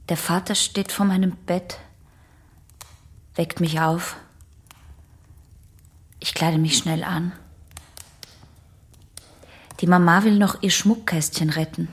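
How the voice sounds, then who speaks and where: A woman's voice: on the low side, slightly rough. An actress in a radio play as a guarded, polite young female patient, recounting things plainly and hesitantly. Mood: haunted, recounting.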